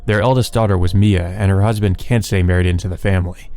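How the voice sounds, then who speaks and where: A man's voice: deep voice, fairly even intonation, quick pace, a little mellow. A man reading visual novel narration aloud as a let's play host.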